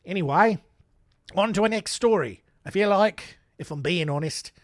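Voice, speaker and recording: A man's voice: high-pitched, normal speed, dry recording, clean studio sound. A man telling crime stories for laughs in a shaky put-on Australian accent, rambling into self-mocking asides. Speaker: storyteller. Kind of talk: comedic true-crime retelling.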